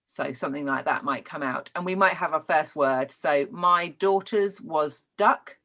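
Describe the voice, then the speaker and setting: A woman's voice: sits low, moderate pace, a bit bright. British woman teaching, explanatory delivery. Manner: explanatory, checking in with learners. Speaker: trainer teaching child development. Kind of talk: informal online lesson, explaining.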